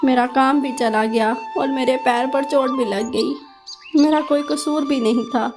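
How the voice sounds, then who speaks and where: An anxious, hard-pressed mother sparrow, as a woman voices her in a cartoon. She pleads and frets in a fairly high voice over background music. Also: fairly even intonation. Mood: sorrowful. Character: poor, worried mother sparrow.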